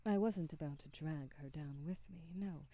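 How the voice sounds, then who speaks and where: A woman playing a kindly, wistful witch who sells potions, speaking softly and breathily, often half-whispering, with formal phrasing in an intimate ASMR roleplay. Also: sits low. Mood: wistful, reserved. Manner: slow, gentle, formal old-fashioned phrasing.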